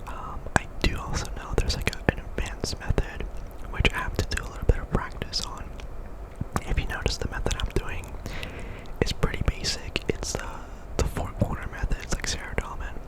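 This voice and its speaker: A man's voice: normal speed, poor audio. Guy whispering through game commentary asmr style, all breath no voice, repeats little phrases. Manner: repeats words and phrases softly. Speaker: gaming video host.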